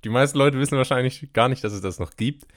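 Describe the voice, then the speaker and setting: A young man talking over a remote call in a casual comedy podcast. His voice sounds dry and close, with no room sound. He jokes in a deadpan way and adds playful asides. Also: mid-range voice, fast talker, no room sound. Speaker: podcast co-host. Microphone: remote call recording.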